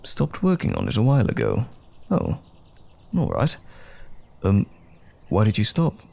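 A man playing a loving, caring boyfriend in an intimate comfort audio roleplay, speaking gently and soothingly with affectionate teasing. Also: lowish voice, huge pitch swings, a little slow, gravelly, heavily acted. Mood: encouraging.

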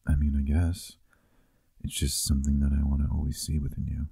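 A man playing a playful, affectionate boyfriend in an intimate ASMR roleplay, teasing softly and conversationally, close to the microphone. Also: a bass voice, monotone, brisk, gravelly, slightly rough, dry recording, exaggerated voice.

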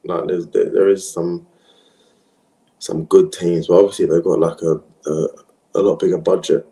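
A young man from Australia, whose first language was French, chatting casually as an interview guest in a relaxed, rambling way with many fillers. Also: deep voice, moderate pace, gravelly. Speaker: interview guest, professional footballer. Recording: echoey room.

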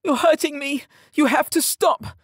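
A man voicing a spoiled, cowardly young lord's son in an audiobook, haughty, shrill and indignant. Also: measured pace, no room sound, clean studio sound. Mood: panicked pleading.

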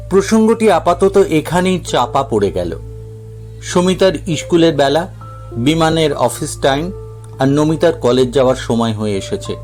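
A man's voice: on the high side, darkish tone. A man reading a scripted story as a narrator, with dramatic storytelling delivery. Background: background music.

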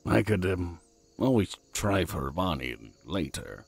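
A man's voice: low voice, big pitch swings, measured pace, vocal fry, breathy edge, no echo, exaggerated voice. A man playing an earnest wood elf druid in a fantasy audio drama, hesitant and stammering. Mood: unsure.

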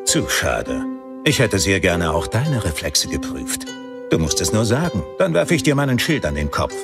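A man playing a devoted human warrior of the Light, earnest and measured, in a fantasy audiobook. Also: deep voice, very animated, gravelly, music in the background, heavily acted. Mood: teasing. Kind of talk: dramatized fantasy audiobook acting.

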